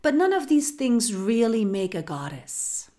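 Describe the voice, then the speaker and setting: Slow, soft-spoken woman host. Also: a little high, very animated. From Germany. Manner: slow, soft-spoken, addresses listener as goddess and sister. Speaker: podcast host. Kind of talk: spiritual podcast talk.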